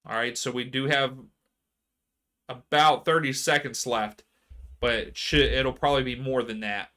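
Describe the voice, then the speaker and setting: A man hosting a live soccer watchalong stream, chatting casually with viewers and ranting about fouls. His voice is going hoarse. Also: mid-range voice, steady tone, a little slow, a bit bright. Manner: chatty, reads chat aloud, rants about fouls. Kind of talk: casual live match commentary.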